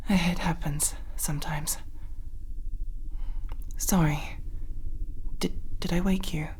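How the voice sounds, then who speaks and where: A woman playing a gentle female alien crewmate and lover in an intimate ASMR roleplay, speaking softly and hesitantly, often in a whisper. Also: sits low, slight background noise. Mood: drowsy, uneasy. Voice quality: soft, breathy, often whispering. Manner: hesitant, halting, tender.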